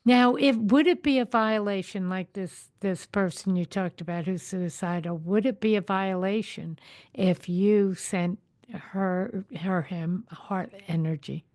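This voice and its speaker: A woman's voice: mid-range voice, unhurried, a little mellow, no echo. Woman interviewer, dry close sound. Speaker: host, interviewer. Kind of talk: casual conversational interview.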